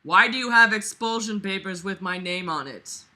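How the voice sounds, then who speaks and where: A woman's voice: mid-range voice, moderate pace, pushed and bright. Woman reading as a defiant, sullen teenage boy. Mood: indignant. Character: defiant, self-absorbed teenage boy narrating his story.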